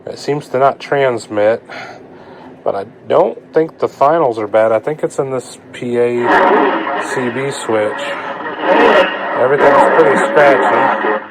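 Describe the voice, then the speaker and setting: A man's voice: mid-range voice, unhurried, long pauses. A man gives an informal, folksy hands-on repair demonstration, thinking aloud as he works. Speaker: hobbyist repair vlogger. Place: indoors at a repair workbench. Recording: clear steady background noise.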